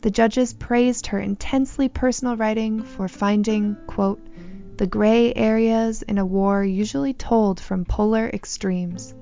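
Gravelly, mellow female narrator. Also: a little high, fairly even intonation, unhurried, faint music behind. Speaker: host, narrator. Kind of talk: scripted narration. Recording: slightly dull lo-fi sound.

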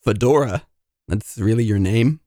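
A man's voice: lowish voice, unhurried, mellow, dry recording, clean studio sound, lightly acted. A man voicing a self-pitying failed dungeon master in a comedy audio drama, talking in a melodramatic way. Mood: dramatic. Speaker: narrator.

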